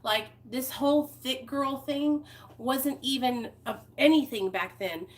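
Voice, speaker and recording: A woman's voice: sits high, unhurried. Candid woman in her thirties. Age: 30s. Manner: candid, heartfelt, rambling with fillers. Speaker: vlogger. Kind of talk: personal recovery vlog, talking to viewers.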